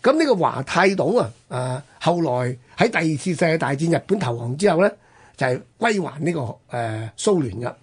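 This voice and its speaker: Male history host, Hong Kong Cantonese, slightly high and husky, conversational storytelling in broken-up phrases. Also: very animated, moderate pace. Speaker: radio history programme host.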